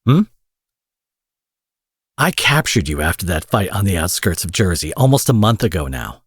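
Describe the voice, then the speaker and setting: A man's voice: low-pitched, very animated, moderate pace, breathy edge, no room sound, clean studio sound, exaggerated voice. A man playing an angry, menacing supervillain in an audio drama, profane, sarcastic and theatrical, taunting with mock affection. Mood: angry, impatient. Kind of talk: roleplay audio drama monologue.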